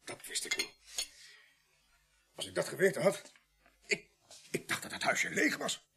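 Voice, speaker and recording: An actor in a Dutch radio play playing an evasive, well-off murder suspect who hesitates, stammers and repeats himself. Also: male voice, high-pitched, big pitch swings, measured pace, gravelly, sharp tone, slightly rough, heavily acted. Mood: panicked, placating.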